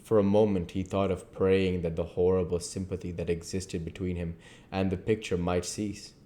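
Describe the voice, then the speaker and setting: A man with a fairly low voice reads literary prose aloud. His narration is deliberate, with occasional stumbles over the written words. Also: little pitch movement, warm tone. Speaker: audiobook reader.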